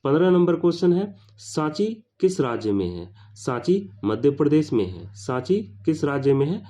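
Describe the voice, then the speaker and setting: A man's voice: a little high, big pitch swings, moderate pace, dark tone. A man teaches like an exam tutor, in a didactic question-and-answer manner, repeating each question and its answer. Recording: dull lo-fi sound.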